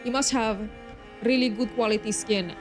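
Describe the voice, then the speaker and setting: Higher-pitched woman streaming a game. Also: normal speed, over music. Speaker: streamer and host. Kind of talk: game livestream, reading story text aloud with chat asides.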